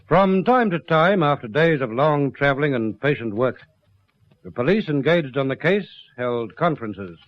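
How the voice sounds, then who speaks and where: An actor as the narrator of a radio crime drama, speaking in a grave, rhetorical scripted style. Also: male voice, mid-range voice, expressive, moderate pace, dry recording, natural voice. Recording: dry, dull lo-fi radio recording.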